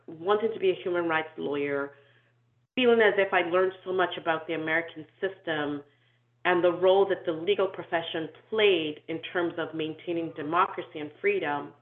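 A woman who grew up in Nigeria, talking through her life story in an interview, thoughtful and measured, with frequent ums and restarts. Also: lowish voice. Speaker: inclusion and diversity consultant, guest. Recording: tinny echoey phone-like call audio.

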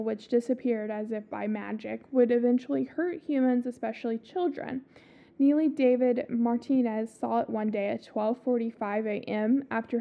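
Podcast co-host, woman, raspy sick voice, tinny phone-like sound, chatty and profane. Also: fairly high pitch. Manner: swears a lot, stumbles over foreign names. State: sick, scratchy voice. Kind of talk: casual chat reading a story.

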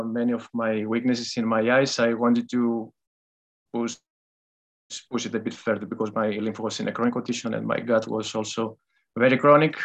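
A man's voice: mid-range voice, a bit bright. Hesitant male caller. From Greece. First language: Greek. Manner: hesitant, with many fillers.

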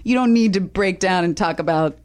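A woman guest on a podcast with a loud voice, joking and firing back quick comebacks in casual chat. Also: on the low side.

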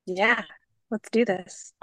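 A woman co-hosting a podcast, chatting casually with many fillers and leading a calm grounding exercise. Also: mid-range voice, slow, no room sound. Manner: bubbly, lots of fillers, leads a calm breathing exercise. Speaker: podcast co-host. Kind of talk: casual chat.

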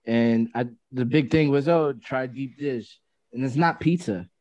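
A man's voice: mid-range voice, measured pace, a little mellow, no room sound, highs cut off. Boisterous man, dry sound. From New Jersey. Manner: boisterous, hyped up. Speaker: podcast guest. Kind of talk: casual chat.

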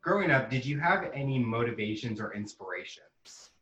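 A man's voice: mid-range voice, lively intonation, normal speed, fairly bright tone, roomy sound, highs cut off, a little hiss or hum. A man hosting a podcast, asking his guest questions in a casual interview style. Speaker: podcast host.

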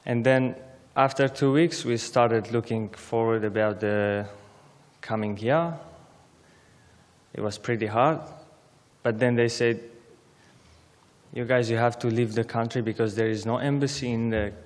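Slow-speaking young man in echoey church. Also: mid-range voice, fairly even intonation. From Syria. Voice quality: halting non-native English, simple phrasing. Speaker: guest speaker. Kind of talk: personal testimony speech. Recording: long church reverb.

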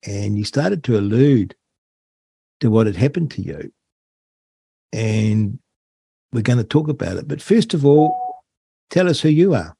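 A man's voice: medium pitch, lively intonation, a little slow, gravelly, pauses often, dark tone, husky, dry recording. A middle-aged man with a New Zealand accent, hosting a talk radio show, speaking in an earnest and indignant way. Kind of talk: talk radio monologue and interview. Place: radio studio.

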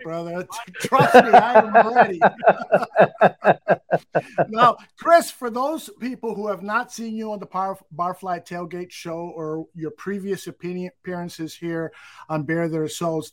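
Laughing American podcast host. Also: male voice, sits high. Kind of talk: casual chat.

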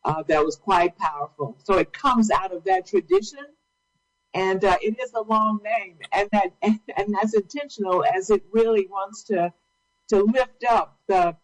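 A woman guest on a radio interview with an average, mid-range voice. She answers thoughtfully at a measured pace, often repeating a word as she gathers her thoughts. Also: medium pitch, animated, rough recording. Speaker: guest, labor advocate.

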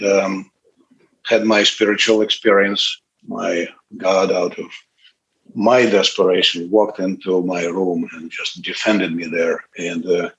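A man in his late fifties from Russia, speaking, gives a candid, halting personal testimony at a recovery meeting. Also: fairly low pitch, lo-fi sound, slight background noise. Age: 50s. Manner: candid, halting, pauses often.

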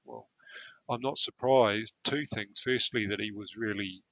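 Bright-voiced thoughtful male therapist. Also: lowish voice, measured pace, vocal fry, no echo, poor audio. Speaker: therapist guest. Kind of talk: explanatory interview answer.

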